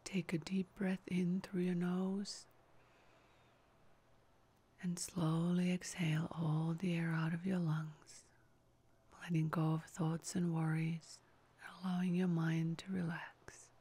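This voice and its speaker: A woman guides a relaxation meditation in a soft, breathy voice close to a whisper. Her pitch is fairly low and stays very even from phrase to phrase. She gives each instruction calmly and very slowly, in a soothing, measured manner. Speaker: yoga nidra meditation guide.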